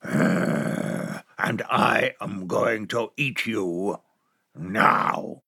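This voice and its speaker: A storyteller voicing a hungry, slow-witted male wolf in a low, drawn-out growl in a children's story. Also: creaky, bright, forceful tone, husky, no echo, studio quality, exaggerated voice. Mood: menacing.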